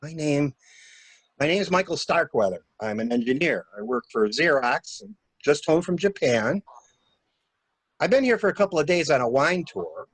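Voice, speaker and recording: A man's voice: a little high, animated, moderate pace, breathy edge, no room sound, rough recording. A man playing a talkative, flustered stranded engineer with a polite, stammering, theatrical manner in a murder mystery reading. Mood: explaining. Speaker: actor in an amateur library reading.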